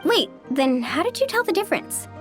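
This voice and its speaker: A woman voicing a small, feisty, skeptical fairy companion in a video game, squeaky and blunt, with music behind. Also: big pitch swings, moderate pace. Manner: blunt, exclamatory.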